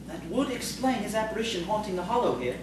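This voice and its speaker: Woman doing an earnest polite schoolmaster guy, hesitant, swoopy pitch, stage radio play, super echoey. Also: big pitch swings, fast talker, close to an everyday voice. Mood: uneasy. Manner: hesitant, stammering, genteel. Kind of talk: audio theatre acting. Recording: very echoey, distant, noisy room.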